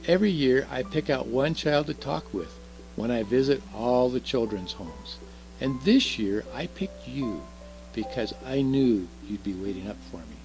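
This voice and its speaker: Man as a kindly old Christmas gift-bringer, gravelly creak, lively intonation, genial, reassuring, storybook telling. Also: mid-range voice, a little slow, soft background music, low-quality recording. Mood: genial, knowing.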